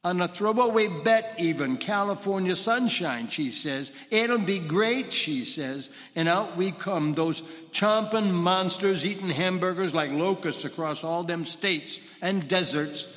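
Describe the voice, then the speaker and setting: An actor with a Brooklyn accent playing a gruff, complaining middle-aged cab driver on a church stage. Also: male voice, high voice, measured pace, hardly any pauses, a bit bright. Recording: echoey hall.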